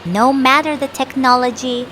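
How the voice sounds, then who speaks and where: A woman voicing an eager megaphone puppet interviewer, presenting in an exclamatory, deliberate way for a puppet show. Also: sits high, steady tone, a bit bright, slight background noise.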